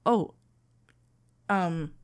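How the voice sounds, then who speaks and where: A woman playing a shy, tender florist best friend in love, talking haltingly and awkwardly in an intimate ASMR roleplay. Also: on the low side, clear, resonant tone. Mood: flustered. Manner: halting, stammering, awkwardly earnest. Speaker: audio roleplay performer.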